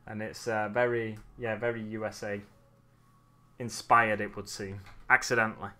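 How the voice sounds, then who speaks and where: A man's voice: lowish voice, level tone, normal speed, sharp tone. A man chatting casually with his live chat while painting miniatures, chatty and self-mocking. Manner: chatty, self-mocking, slips into playful accents.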